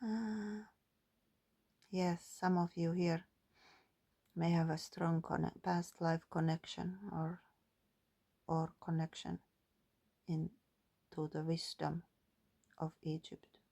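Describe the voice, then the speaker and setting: Woman, low voice, gravelly, calm musing tarot reader. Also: slow pace, pauses often. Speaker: tarot and oracle card reader. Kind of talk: spiritual card reading, reading guidebook text aloud.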